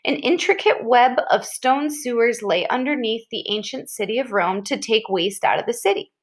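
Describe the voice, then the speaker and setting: A woman's voice: on the high side, animated, moderate pace. A woman reading an informational article aloud expressively, like a teacher, leaning on and drawing out key descriptive words.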